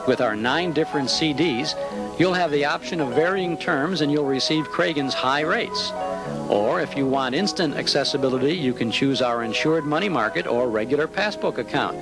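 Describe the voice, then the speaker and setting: Male commercial announcer, fairly high voice, scripted financial spot over clear music. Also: normal speed, rough recording. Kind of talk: scripted bank advertisement narration.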